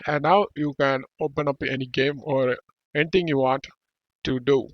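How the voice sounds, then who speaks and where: Man giving a dry, slightly dull-sounding software tutorial. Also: mid-range voice, fairly even intonation, moderate pace, no room sound. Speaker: tutorial host.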